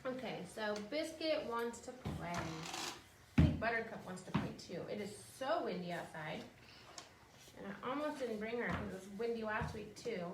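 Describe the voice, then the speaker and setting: A woman reading children's picture books aloud in a simple, expressive storytelling way, with casual asides. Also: fairly high pitch, moderate pace. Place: outdoors in the wind, with animals. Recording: echoey, distant, noisy windy outdoor recording.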